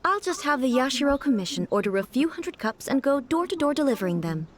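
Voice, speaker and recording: A woman's voice: high-pitched, big pitch swings, quick pace. A woman voicing a regal, composed ruler in a video game, stately, formal and bluntly curious. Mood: puzzled. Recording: music behind the voice.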